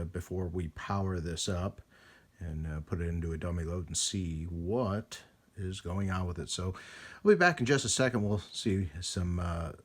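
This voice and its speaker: A man hosting a casual hands-on demonstration of vintage radio gear, talking in a rambling, folksy way with hesitations as he works. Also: low voice. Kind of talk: casual hands-on vlog narration.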